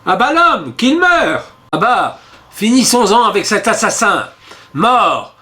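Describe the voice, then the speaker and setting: A man voicing a furious revolutionary mob, with shrill, bellowing cries for death. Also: expressive, unhurried, pushed and bright, exaggerated voice. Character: furious shouting revolutionary mob, men and women.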